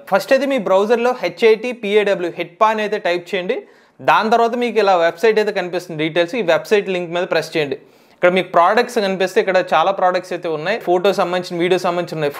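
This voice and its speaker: Man, Telugu accent, high voice, quick delivery, tutorial host, English tech words mixed in. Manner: instructional, mixes English tech words into speech. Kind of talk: step-by-step software tutorial narration.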